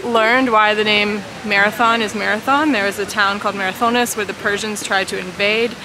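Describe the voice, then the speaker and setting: A woman's voice: medium pitch, steady tone, brisk. A woman in her thirties from the United States, recapping a walking tour in a chatty, self-deprecating way, filming herself at arm's length outdoors. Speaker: travel vlogger. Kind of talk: casual travel vlog narration. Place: outdoors in a city park and plaza. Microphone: camera held at arm's length. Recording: outdoor city noise behind.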